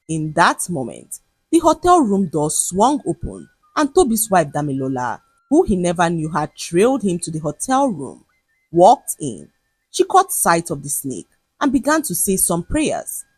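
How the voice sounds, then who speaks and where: A woman narrating a scripted folktale in a dramatic, expressive way. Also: fairly low pitch, breathy edge, no room sound, low-quality recording. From Nigeria. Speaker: storyteller. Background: music.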